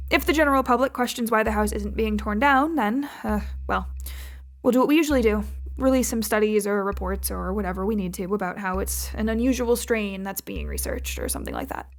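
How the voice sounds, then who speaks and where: An actress playing a dry, exacting agency chief in an audio drama, speaking formally and precisely with some condescension. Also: female voice, mid-range voice, gravelly. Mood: exasperated, dry. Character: dry, exacting female boss of secret agency.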